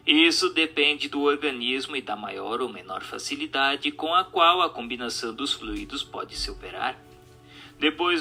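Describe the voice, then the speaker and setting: Male narrator, didactic scripted reading, lively pitch swings, sharp and projected tone. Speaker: narrator reading a spiritist book. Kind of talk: scripted narration of a question-and-answer text. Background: music.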